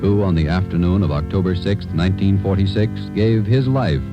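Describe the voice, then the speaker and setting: A deep-voiced American man narrates like a 1940s radio announcer. His delivery is solemn, deliberate and dramatic, with music clearly behind him. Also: lo-fi sound.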